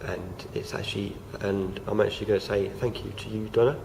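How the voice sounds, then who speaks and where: Croaky, hesitant young man. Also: fairly low pitch, fairly even intonation, fairly quick. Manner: hesitant, many fillers and restarts. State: croaky from feeling unwell. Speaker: vlogger. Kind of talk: casual talk to camera. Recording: noisy home recording.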